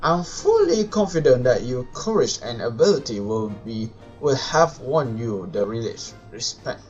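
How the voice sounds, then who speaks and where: A man who is a non-native English speaker, live streaming a game and reading its dialogue aloud in a halting, stumbling way. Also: mid-range voice, sing-song, darkish tone. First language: not English. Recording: dull lo-fi mic with music behind.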